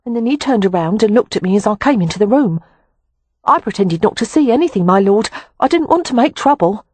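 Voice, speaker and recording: A trembling young housemaid, put on by an audiobook narrator, deferential and faltering, her voice climbing high as she speaks. The delivery swoops with lively pitch over a slightly husky tone. It is recorded close and dry, with no room sound. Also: fairly quick, dry recording. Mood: nervous. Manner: faltering, hesitant. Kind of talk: audiobook character voice.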